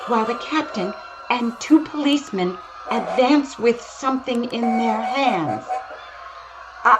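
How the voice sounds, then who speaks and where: An actress playing an excitable, overwhelmed field reporter in a 1930s-style radio drama, speaking in dramatic broken phrases. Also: female voice, on the high side. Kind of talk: 1930s radio drama acting, breathless live commentary. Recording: noisy audio with constant ambience.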